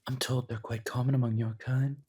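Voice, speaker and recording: A man's voice: mid-range voice, level tone, mellow, breathy edge, natural voice. A man playing an ancient monster in human guise, mockingly polite and possessive, in a theatrical horror audio roleplay. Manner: taunting, theatrical, drawn-out delivery.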